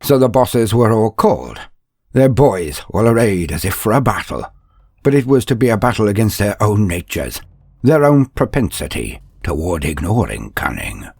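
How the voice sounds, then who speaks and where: A man narrating a mock-epic fantasy tale in a grandiose, theatrical manner with wry asides, his voice gravelly and husky. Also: fairly low pitch, very animated, moderate pace, a little mellow, no echo, studio quality. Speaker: narrator, storyteller. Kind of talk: dramatic scripted fan fiction narration, mock-epic.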